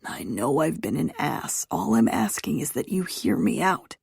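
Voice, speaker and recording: A woman reading aloud, voicing a persistent young man who is the love interest, in a low husky voice. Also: sing-song, a little slow, a little mellow, no room sound, studio quality, heavily acted. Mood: pleading.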